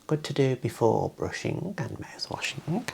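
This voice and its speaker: A soft, breathy whisper in an ASMR medical role play, gently and politely explaining and instructing step by step as a clinician. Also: mid-range voice, sing-song, measured pace. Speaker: ASMR performer playing a clinician.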